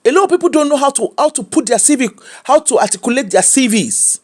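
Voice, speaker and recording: Animated Nigerian man ranting. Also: extremely high pitch, quick pace. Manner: animated, switches into pidgin and mimics people. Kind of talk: opinionated commentary.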